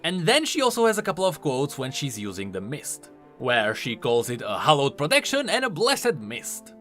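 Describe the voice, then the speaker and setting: Animated, bright-voiced man explaining game lore. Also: on the high side, moderate pace. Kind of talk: lore commentary voice-over. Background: faint music.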